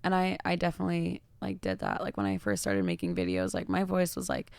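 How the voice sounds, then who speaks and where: A woman with a naturally raspy voice, chatting openly and reflectively as a podcast guest, with lots of fillers. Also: rumbling low, sing-song, brisk, a little mellow, no echo. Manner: open, reflective, heavy use of fillers. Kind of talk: casual podcast chat.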